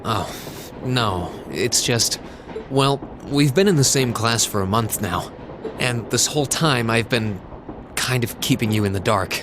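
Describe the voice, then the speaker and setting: Man playing an earnest young student, rumbling background. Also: measured pace, background noise, lightly acted. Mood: hesitant confession. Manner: hesitant, sincere. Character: earnest, gentle young male student of adopted noble birth. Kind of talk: video game cutscene dialogue acting.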